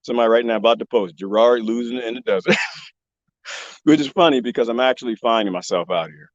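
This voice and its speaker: A man live streaming from the desert, joking and rambling in a loose, animated way. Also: medium pitch, quick pace, no echo, highs cut off. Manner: animated, joking, imitates bird chirps. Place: outdoors in the desert.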